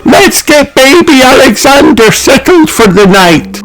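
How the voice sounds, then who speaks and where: A man voicing a boisterous auntie pig in a children's storybook, yelling heartily. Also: very high voice, very animated, measured pace, faint music behind, voice pushed up, full character voice, poor audio. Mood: hearty.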